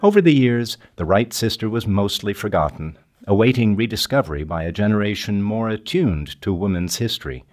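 A man's voice: on the low side, lively intonation, fairly quick, hardly any pauses, darkish tone. An older man narrating a scripted documentary podcast as its host. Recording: music behind the voice. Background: music.

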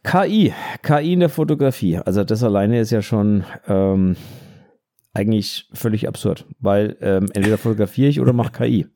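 Low, mellow man chatting. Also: moderate pace, dry recording, studio quality. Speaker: podcast co-host. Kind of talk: casual unscripted podcast chat about photography.

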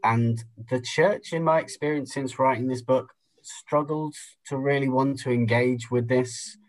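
Male podcast guest, medium-pitched, reflective and thoughtful, feeling his way through each sentence. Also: fairly even intonation, a little slow, darkish tone, poor audio. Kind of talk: reflective conversation.

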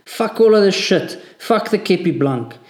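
A man's voice: fairly high pitch, expressive, moderate pace, dark tone, natural voice. A man voicing a bitter, worn-out young soldier. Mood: furious. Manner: strained, wounded outbursts. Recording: echoey room.

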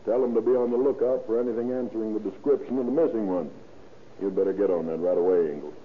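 An actor playing a determined, businesslike county sheriff in an old radio crime drama. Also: male voice, fairly low pitch, quick pace, lightly acted. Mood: thoughtful. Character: brisk, determined male Western county sheriff. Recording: tinny, noisy old radio recording.

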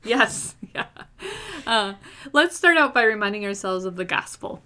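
A woman in her thirties hosting a casual podcast in a room with her sisters, earnest and quick to laugh, pausing with fillers as she thinks aloud. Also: mid-range voice, animated, bright, forceful tone, clear, resonant tone. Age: 30s. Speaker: podcast host. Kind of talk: casual faith podcast chat.

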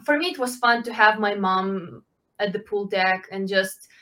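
A woman, answering interview questions in halting, full of fillers. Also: medium pitch, pushed and bright. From Ukraine. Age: 20s. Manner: halting, with frequent fillers and restarts, non-native phrasing. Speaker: guest, Olympic swimmer.

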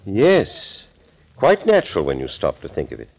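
An actor playing a composed, thoughtful doctor in an old radio thriller, speaking in a measured, deliberate way. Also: male voice, sits low, huge pitch swings, a little mellow, heavily acted. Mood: pondering.

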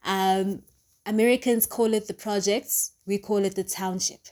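An upbeat South African woman hosts her own podcast in an easy, chatty way. She sits in a comfortable mid-range pitch and keeps checking in with the listener. Her pauses fill with "um", and she drops in local township slang. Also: steady tone, a little mellow. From Soweto, Johannesburg, South Africa. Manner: chatty, upbeat, often asks "right?" and adds "um". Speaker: podcast host. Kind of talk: casual solo podcast chat.

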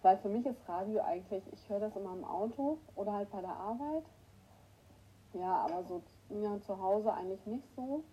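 A woman with a cold hosting a casual morning livestream, greeting her viewers by name and clearing her throat often. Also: medium pitch, moderate pace, warm tone, a little hiss or hum, rough recording. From northern Germany. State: has a cold, hoarse at times. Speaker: livestream host. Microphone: new microphone that is not working right.

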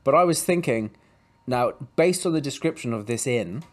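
A man's voice: mid-range voice, lively intonation, moderate pace, soft background music. A man co-hosting a podcast, chatting casually about a book chapter, with many restarts and fillers and a dry sense of humour. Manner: hesitant, full of fillers and restarts, dry jokes. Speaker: podcast co-host.

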